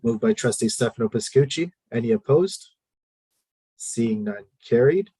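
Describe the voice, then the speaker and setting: A man chairing a formal board committee meeting, leading a prayer and calling items in a measured, procedural way with frequent fillers. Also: medium pitch, expressive, mellow, husky, dry recording, low-quality recording.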